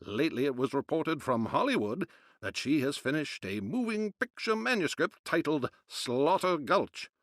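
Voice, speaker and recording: An actor playing a formal period newsreader, reading newspaper reports in a declamatory narrating style. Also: male voice, fairly high pitch, big pitch swings, normal speed, fairly bright tone, dry recording, studio quality. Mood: formal, measured. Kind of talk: scripted news narration.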